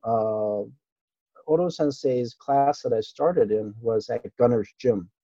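A middle-aged man from Okinawa, whose first language was Japanese, reminisces as an interview guest. He talks in an easygoing, halting way, full of fillers and small laughs. The sound is dry and a little dull. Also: mid-range voice, no echo. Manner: easygoing, hesitant, with frequent fillers and soft laughs. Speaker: interview guest, martial artist. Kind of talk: relaxed reminiscing interview talk.

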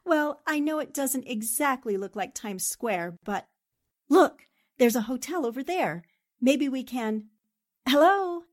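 A woman's voice: sits high, animated, a little slow. A woman playing a determined, eager newspaperwoman in the style of 1940s radio drama. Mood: bright, polite. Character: determined, eager newspaperwoman investigating a haunted house.

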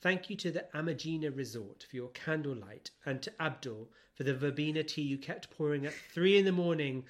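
A man's voice: a little high, a little fast, few pauses. A man co-hosting a podcast in casual comic chat, giggly and teasing as he talks. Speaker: podcast co-host.

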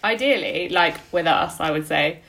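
Low-voiced woman, casual podcast co-host. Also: normal speed, creaky, fairly bright tone, poor audio. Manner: informal, conversational. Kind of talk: casual podcast chat giving recommendations.

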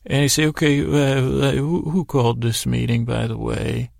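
Man, heavy vocal fry, gravelly, halting and meandering delivery, repeated words, bedtime podcast monologue, dry close recording. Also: medium pitch, flat delivery, darkish tone, no echo. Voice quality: gravelly, creaky. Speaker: podcast host. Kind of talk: rambling bedtime sleep-story monologue.

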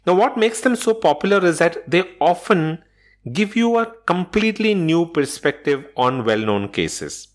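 A man's voice: high voice, animated, unhurried. A man presenting a scripted solo podcast as its host, speaking in an instructional way directly to listeners.

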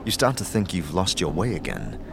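Deep-voiced male audio drama narrator. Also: big pitch swings. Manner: measured, descriptive storytelling. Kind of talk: second-person scripted narration. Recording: clear music bed under narration.

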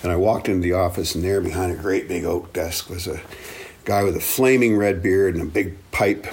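A man's voice: deep voice, steady tone, fairly quick, darkish tone, slightly rough. A man in his seventies from the Congo, a native speaker of English and Swahili, reflecting on his life in an earnest, thoughtful interview style. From Belgian Congo. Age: 70s. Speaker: interview guest, African studies professor. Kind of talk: reflective personal storytelling.

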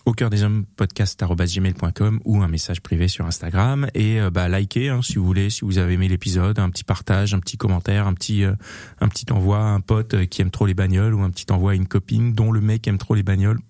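A man hosting a chat podcast, wrapping up the episode with quick thanks and plugs. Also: low-pitched, fairly even intonation, dark tone, no echo, clean studio sound. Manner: quick, friendly sign-off, plugs social media. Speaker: podcast host. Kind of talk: casual chat, show outro.

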